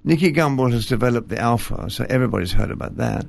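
An older man from Scotland, a retired bishop, talking gently and self-deprecatingly as a studio interview guest, with fillers and pauses. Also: medium pitch, gravelly. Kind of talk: relaxed interview conversation. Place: television studio.

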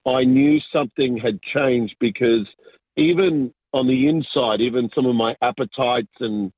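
A man's voice: mid-range voice, dry recording. Man in his forties, guest telling his life story, hesitant and reflective, dry close sound squeezed down like a phone line. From Argentina, raised in Sydney. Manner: reflective, halting, many fillers and restarts. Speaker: guest, business pastor. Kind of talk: casual interview storytelling.

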